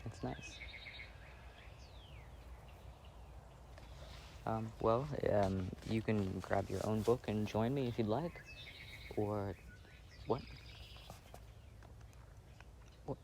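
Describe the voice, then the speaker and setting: A man acting as a tender, shy boyfriend in an ASMR audio roleplay. His low voice is soft and full of creak, and he talks slowly with halting, self-doubting affection. Faint birdsong and outdoor sound sit behind him. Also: slight background noise. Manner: hesitant, halting, soft-spoken. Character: gentle, insecure, affectionate plant-loving boyfriend reading outdoors. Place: outdoors, sitting on grass. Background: faint birds and outdoor ambience.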